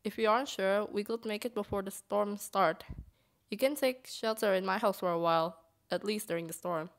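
A woman voicing gentle, caring, shyly romantic young characters in a comic dub, earnest and halting. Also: mid-range voice, natural voice. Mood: caring, apologetic.

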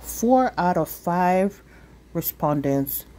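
A woman's voice: fairly low pitch, expressive, slow, mellow. A woman voicing an earnest, persuasive little girl in a storybook, speaking formally and inquisitively.

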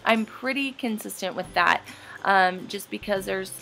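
A chatty woman in her thirties narrates a home cleaning video in a bubbly, friendly way. Her pitch sits in an ordinary middle range. Faint music plays softly under her voice. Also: unhurried, creaky, poor audio. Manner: bubbly, chatty, with light laughs. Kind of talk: voice-over cleaning tutorial. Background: soft background music.

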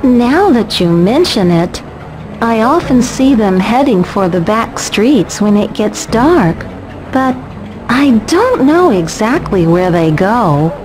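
A gentle young woman in a video game. Her voice is high and very slow, hesitant but helpful, with music behind it. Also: very animated, low-quality recording. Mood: helpful, unsure. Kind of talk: English video game voice acting.

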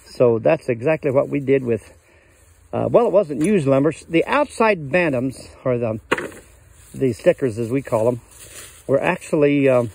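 A man's voice: mid-range voice, lively intonation, moderate pace, creaky, darkish tone, a little hiss or hum. An older man casually showing his cabin outdoors in the woods, rambling in a folksy way with many fillers, recorded on a handheld phone. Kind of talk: casual walkthrough chat.

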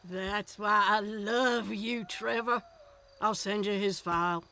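A woman's voice: medium pitch, fairly even intonation, sharp tone. An actress playing a folksy, sunny, flirty bail bond agent woman in a video game, talking wordily and without hurry. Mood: wry, affectionate. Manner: unhurried, sunny, wordy. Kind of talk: video game cutscene acting.

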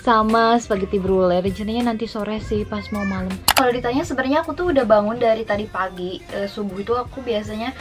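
A woman's voice: mid-range voice, fast, few pauses. A woman in her twenties from Indonesia, chatting casually to camera in a lifestyle vlog from her bedroom, mixing in English phrases. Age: 20s. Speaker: lifestyle vlogger. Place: bedroom at home. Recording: music playing behind.

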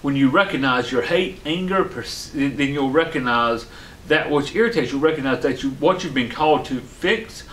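Man, 40s, online pastor, fervent sermon to camera, slightly high voice, roomy studio echo. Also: a bit bright, slight background noise. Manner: fervent, repeating amen and hallelujah. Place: studio set with a dark backdrop, indoors. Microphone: fixed camera, seated at a medium distance.

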